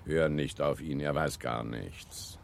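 A man's voice: rumbling low, slow, gravelly, slight background noise, heavily acted. An actor playing a brash, boastful seafarer in a theatrical radio drama, insistent and mocking, repeating his phrases. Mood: mocking, know-it-all. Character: brash, bossy, boastful old-school seafarer courting a woman. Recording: faint music behind.

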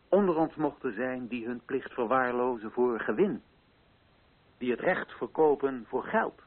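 An actor playing a solemn colonial official who addresses village chiefs in deliberate, rhetorical oratory in a radio play. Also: male voice, mid-range voice, slightly rough, phone-quality sound.

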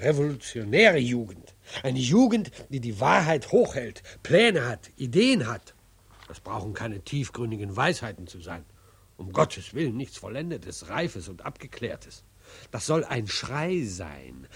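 An actor playing a pompous, patronizing theatre director, declamatory and condescending, in 1940s German radio drama style. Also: male voice, mid-range voice, husky, exaggerated voice. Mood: grandiose. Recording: vintage 1940s radio recording.

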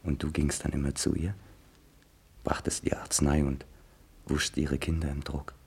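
A man playing an anguished young writer in a stage drama, intense, moving between hushed pleading and outbursts. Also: a bass voice, level tone, normal speed, husky, heavily acted.

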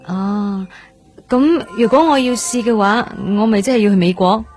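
A woman's voice: medium pitch, moderate pace, lightly acted. An actress playing an anxious, curious young woman recovering from surgery in a radio drama, speaking Hong Kong Cantonese in a hesitant, apologetic, questioning way. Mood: wondering. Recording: radio drama with loud music underneath. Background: music.

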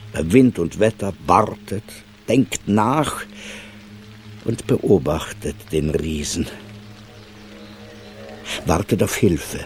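A man narrating a radio play as a storyteller, deliberate, pausing phrase by phrase. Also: low voice, heavily acted.

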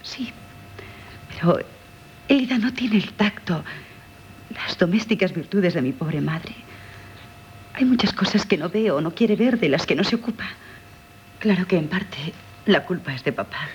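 An actress playing a dutiful young daughter longing to escape, earnest and hesitant, in Castilian Spanish radio drama. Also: female voice, on the low side, expressive, a little slow, pauses often, muffled recording, slight background noise. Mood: hesitant, troubled.

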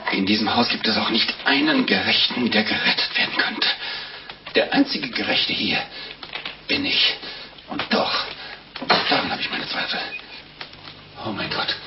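An actor playing a fretful, scolding monk with theatrical pleading in a 1950s radio drama. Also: male voice, lowish voice, huge pitch swings, unhurried, creaky, pauses often, background noise, full character voice. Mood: despairing. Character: pious, fretful, exasperated monk, fatherly and scolding. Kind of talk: 1950s German radio play acting. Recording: dull 1950s radio recording with music.

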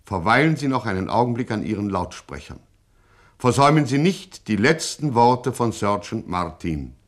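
An actor playing a formal, theatrical announcer of a radio serial, pompous and dramatic. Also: male voice, lowish voice, big pitch swings, normal speed. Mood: urgent, formal. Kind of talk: scripted radio serial narration.

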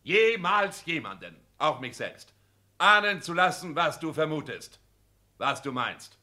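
An actor playing a pompous, self-important husband in a 1960s German radio play, declaiming and lecturing with affected French phrases. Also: male voice, on the high side, very animated, unhurried, sharp tone, heavily acted. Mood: indignant, dramatic.

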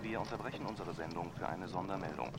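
Man playing a deep-voiced radio newsreader. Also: heavy background noise, close to an everyday voice. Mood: sober, urgent. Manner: measured, formal. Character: male radio newsreader, sober and authoritative. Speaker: radio drama actor. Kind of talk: formal news bulletin delivery.

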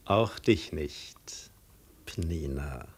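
An actor playing a suave, self-assured adventurer who poses as a prince, ironic and patronizing, in 1950s German radio drama style. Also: male voice, extremely low pitch, expressive, very slow, slightly rough, exaggerated voice.

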